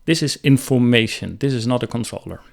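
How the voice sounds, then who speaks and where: Gravelly-voiced man giving instructional commentary. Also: medium pitch, brisk. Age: 30s. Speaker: helicopter pilot explaining radio calls. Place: small room indoors. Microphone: close desk microphone.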